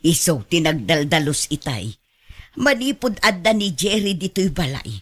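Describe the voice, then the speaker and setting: Contented Ilocano mother, radio drama, homely, chatty, animated pitch swings. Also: normal speed, husky. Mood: approving.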